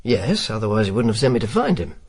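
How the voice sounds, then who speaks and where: An actor playing a wry, world-weary Roman informer in a radio drama, dry and sardonic. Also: male voice, lowish voice, sing-song, fast talker, no room sound. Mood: probing, dry. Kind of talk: radio drama dialogue and first-person narration.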